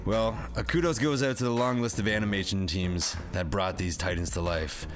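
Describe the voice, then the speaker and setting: A man's voice: fairly low pitch, steady tone, moderate pace. A man hosting a comedic, part-scripted movie review in a slangy, sweary, wisecracking way. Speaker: review show host. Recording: music under the voice.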